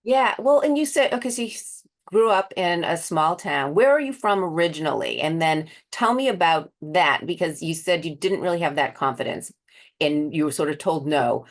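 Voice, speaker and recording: A woman's voice: low-pitched, lively intonation, moderate pace. An American woman hosting a conversational interview in a chatty, rambling way. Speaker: interview host.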